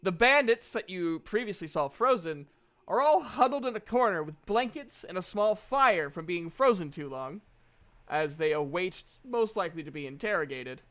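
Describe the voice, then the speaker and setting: A man with a smooth, fairly high voice and a Southern American accent runs a fantasy tabletop game. He narrates scenes to his players as game master, in a clean, resonant tone. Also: lively intonation, normal speed, a bit bright.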